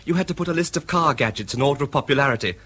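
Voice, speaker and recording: An actor playing a breezy, cheeky, unrepentant young English son in a British radio drama. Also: male voice, fairly high pitch, animated, racing, a bit bright.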